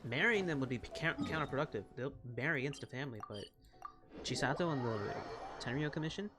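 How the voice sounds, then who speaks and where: A man's voice: mid-range voice, lively intonation, fast talker. A man giving casual gameplay commentary as a streamer, rambling and often correcting himself. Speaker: gaming streamer. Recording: game music behind voice. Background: game music.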